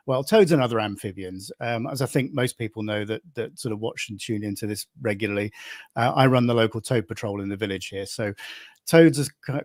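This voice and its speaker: A middle-aged man hosts a live stream in a casual, meandering way. His voice sits at an ordinary pitch and sounds close and dry, with many false starts and "you know"s as he goes. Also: a little mellow, no room sound. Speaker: host of a live nature travel stream.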